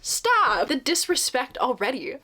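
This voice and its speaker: A woman's voice: high-pitched, big pitch swings, a little slow, bright, forceful tone, a little hiss or hum. A young woman chatting casually through her reading vlog, upbeat and laughing often, sounding a bit nasal from a slight cold. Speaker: reading vlogger.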